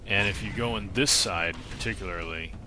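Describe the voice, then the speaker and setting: A man's voice: on the low side, moderate pace, over music. A man giving casual, plain, instructional video game walkthrough commentary in an informal way. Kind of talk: casual explanatory gameplay commentary. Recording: voice-over with clear game noise behind.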